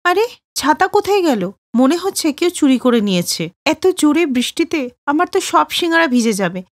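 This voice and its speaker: A woman's voice: high-pitched, sing-song, brisk, no room sound, studio quality. A woman voicing a poor, kind snack seller in a cartoon, earnest in tone. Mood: worried.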